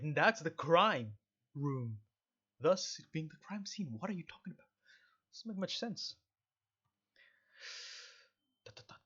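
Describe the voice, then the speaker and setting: Expressive man doing sarcastic gaming commentary. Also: on the high side, dull audio. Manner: sarcastic, joking, sweary asides. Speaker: video game streamer. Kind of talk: casual let's-play commentary mixed with reading dialogue aloud.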